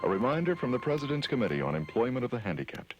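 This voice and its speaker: A man's voice: mid-range voice, very animated, very fast, lo-fi sound. A man reading a scripted radio public service spot with emphatic, list-like build-up. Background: music.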